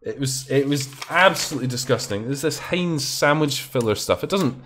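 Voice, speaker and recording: A man's voice: mid-range voice, lively intonation, moderate pace, a bit bright. A young Scottish man hosting a live stream, chatting casually and rambling, with plenty of swearing and false starts. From Scotland. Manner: rambling, swearing, often restarts and exclaims. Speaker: stream host.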